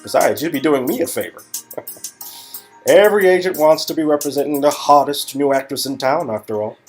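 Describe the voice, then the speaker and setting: A man's voice: fairly high pitch, normal speed, faint music behind, close to an everyday voice. A man playing a cocky, joking young Hollywood talent agent in a hammy, improvised comedic dialogue. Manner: joking, hammy, repeats phrases for effect.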